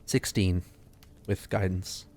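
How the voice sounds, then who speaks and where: A man's voice: sits low, level tone, slow pace, darkish tone. A man playing a tabletop roleplaying game, talking casually and thinking aloud with hesitant questions.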